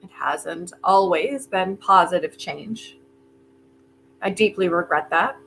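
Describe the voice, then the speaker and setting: A woman's voice: on the low side, takes it slowly, fairly bright tone, slight background noise, poor audio. A woman in a staged play reading, playing an arrogant, defiant inventor with a sardonic, theatrical manner. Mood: boastful, wry, then regretful, bitter. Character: arrogant, brilliant, defiant inventor bargaining with Death.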